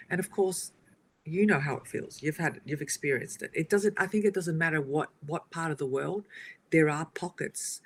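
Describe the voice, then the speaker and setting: A woman, a guest on a casual talk show, telling stories in an animated way with frequent laughter. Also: lowish voice. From Australia. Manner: animated storytelling, laughs often. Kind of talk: casual conversation on a video podcast.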